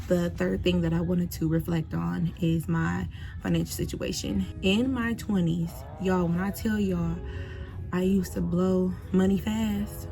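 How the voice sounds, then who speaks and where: Late-20s woman vlogger, gravelly low voice, music bed underneath. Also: dark tone. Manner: chatty, direct address to viewers. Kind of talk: casual reflective vlog talk.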